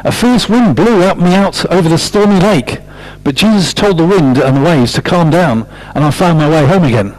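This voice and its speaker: A man voicing a grateful little lark in a storybook, with a bright, lifted reading voice. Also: on the high side, animated, normal speed, soft background music, close to an everyday voice, rough recording.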